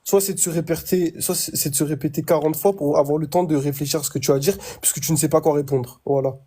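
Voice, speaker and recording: A man's voice: on the high side, fairly even intonation, fast talker, dark tone. A man in a heated debate who interrupts and presses the other side with challenging questions.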